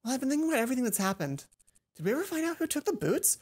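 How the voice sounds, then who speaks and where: A woman voicing a caring young female roommate in a game, gentle and girlish. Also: sits high, very animated, very fast, breathy edge, voice pushed up, exaggerated voice. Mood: thoughtful, gentle. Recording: music behind the voice.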